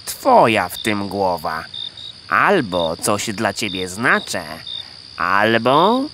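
A woman's voice: rumbling low, very animated, slow, heavily acted. An actor playing a proud, scheming male gander in a children's audio play, condescending and full of honking interjections. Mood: smug, manipulative. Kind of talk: children's audio drama acting. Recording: clear background noise of night insects.

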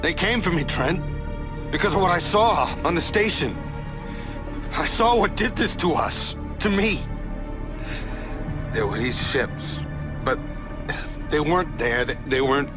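A man playing a paranoid, rattled older man on the run in a video game, halting and agitated. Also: on the high side, expressive. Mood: frantic. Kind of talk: video game cutscene acting. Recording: game music behind. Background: music.

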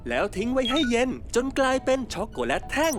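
A woman's voice: sits high, fast, natural voice. A woman voicing a cheerful, curious young male cartoon explorer in a dub, polite and upbeat. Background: cartoon music.

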